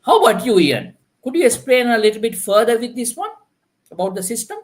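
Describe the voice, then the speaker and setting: A man's voice: extremely high pitch, big pitch swings, brisk. A man hosting a live online panel, talking in a loose, filler-heavy way and often restarting his sentences. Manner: filler-heavy, repeats "like, you know". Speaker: host. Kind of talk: live stream panel chat.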